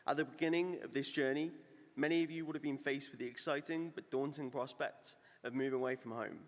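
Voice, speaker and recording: A man delivers an earnest, congratulatory prepared speech at medium pitch. The speech echoes with a long reverb in a large hall. His tone is sincere and steady. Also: fairly quick. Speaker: students' union vice president.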